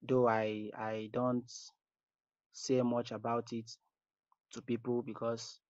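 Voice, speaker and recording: A man's voice: medium pitch, flat delivery, slow pace, darkish tone, no echo. A man with a Nigerian accent leaving an informal, hesitant spoken message, often repeating his phrases. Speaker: voicemail caller. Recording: dull lo-fi voice message.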